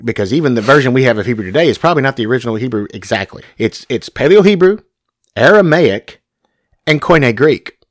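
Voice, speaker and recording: A man's voice: mid-range voice, lively intonation, fast. A man in his forties, co-hosting a casual talk about Bible interpretation, with a youngish, slightly whiny, country-sounding voice. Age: 40s. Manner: self-described young whiny voice with a country twang. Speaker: podcast co-host.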